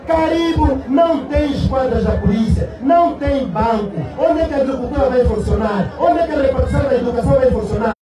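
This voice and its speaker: A man's voice: very high-pitched, a little fast, few pauses, over music. An Angolan man giving an impassioned political rally speech in Portuguese-accented declamation, throwing rhetorical questions out to his listeners. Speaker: political party secretary addressing a rally. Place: open-sided outdoor rally stand under a canopy. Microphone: cluster of press microphones and PA. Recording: echoing PA, distant, noisy crowd. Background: rally crowd.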